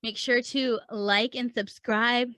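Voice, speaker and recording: A woman's voice: on the high side, steady tone, slow, a bit bright, no echo. A woman hosting an instructional podcast as a coach, talking conversationally and informally, stressing her points with repetition and questions to the listener. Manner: emphatic, repeats points with rhetorical questions; informal, chatty phrasing with check-ins to listener. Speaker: podcast host and writing coach.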